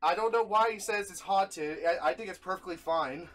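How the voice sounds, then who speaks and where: Giggly young man, higher-pitched voice. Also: normal speed, fairly bright tone. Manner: giggly, easily breaks into laughter. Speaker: video host, hobby radio collector. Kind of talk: casual review with friends on a call.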